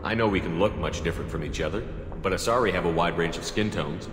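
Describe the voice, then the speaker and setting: Military commander, low voice, quick delivery, direct, principled, video game hero, echoing space. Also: male voice, fairly bright tone, slight background noise. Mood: inquiring. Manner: direct, questioning, matter-of-fact. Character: steady, principled human military commander, male. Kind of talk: video game character acting. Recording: echoey hall reverb, music behind. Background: game music.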